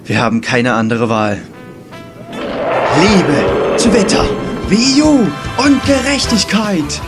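A man's voice: sits high, lively intonation, slow, full character voice. A man playing a mock-dramatic young adventurer and secret superhero in an amateur comedy audio drama. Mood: mock-solemn. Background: music.

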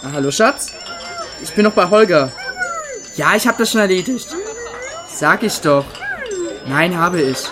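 Cocky put-upon buddy played by a man, higher male voice, comedy audio play, music clearly behind. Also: animated, unhurried, pauses often. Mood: casual, placating. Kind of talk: amateur comedic audio drama acting.

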